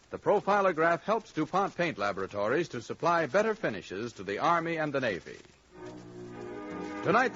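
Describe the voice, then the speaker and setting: A man's voice: a little high, a bit bright. A man announcing a scripted programme in the formal style of 1940s radio. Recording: dull 1940s radio recording.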